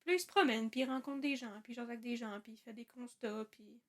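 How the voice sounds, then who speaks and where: A young woman with a Quebec French accent, co-hosting a podcast in relaxed, slangy talk. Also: on the high side, fast. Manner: relaxed, slangy, with fillers like "genre" and "comme". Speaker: podcast co-host. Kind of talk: casual chat.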